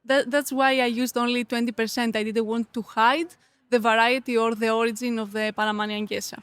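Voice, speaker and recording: A woman's voice: on the high side, level tone, brisk, fairly bright tone, clean tone, dry recording. A woman from Greece with a Greek accent, a native Greek speaker answering interview questions casually and a little hesitantly in her second language. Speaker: interview guest, coffee competitor.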